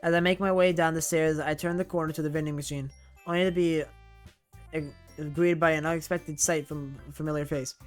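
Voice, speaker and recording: A man's voice: on the high side, steady tone, brisk, clean tone, soft background music. A man playing a visual novel, reading the game's text aloud and breaking off for chatty asides, often correcting himself mid-sentence. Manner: chatty, self-correcting reader, laughs at himself. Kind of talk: let's play, reading game text aloud with casual commentary.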